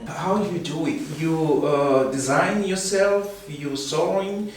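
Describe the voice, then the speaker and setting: A man interviewing a guest, asking questions in halting, slightly broken phrasing. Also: on the high side, a little hiss or hum. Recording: distant, very echoey room.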